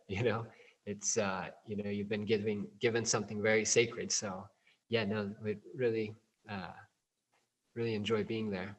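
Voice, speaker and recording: Laid-back man answering interview questions. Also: on the low side. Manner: relaxed, many fillers like um and you know. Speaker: guest, plant medicine provider. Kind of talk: interview answers over a call.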